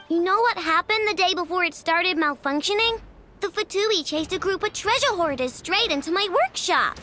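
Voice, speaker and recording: A cocky little girl inventor from a video game, very high and swooping in pitch. She bubbles over and brags happily, with music clearly behind her. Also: pushed and bright, exaggerated voice. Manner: exclamatory, bubbly, boastful.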